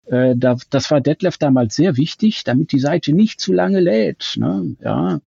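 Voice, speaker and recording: A man's voice: fairly high pitch, animated, measured pace, creaky, mellow, slightly rough, no echo, muffled recording. A man explaining things in detail as an interview guest, with precise, somewhat bookish wording. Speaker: fan website co-founder, podcast guest.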